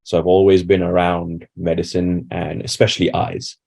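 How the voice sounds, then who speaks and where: A man's voice: low voice, flat delivery, moderate pace, vocal fry, a little mellow. A man from India giving reflective, conversational answers as a doctor being interviewed. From Bangalore, India.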